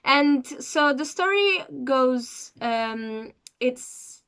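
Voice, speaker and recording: Woman, talks slow, stops a lot to find her words, chatty review vlog. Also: high voice, lively intonation, fairly bright tone, clear, resonant tone. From Cape Verde. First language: Portuguese. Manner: hesitant, searching for words as she goes. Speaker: series reviewer.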